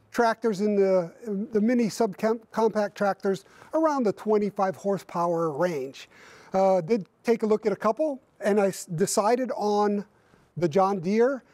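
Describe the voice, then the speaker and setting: A man's voice: high voice, dark tone, dry recording. A man in his fifties reviewing his tractor outdoors by a barn in winter, explaining casually with lots of fillers and restarts. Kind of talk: casual equipment review to camera.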